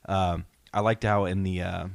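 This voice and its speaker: Low-voiced man, dry close sound. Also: flat delivery, normal speed, vocal fry, dry recording. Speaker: podcast host. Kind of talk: casual podcast discussion.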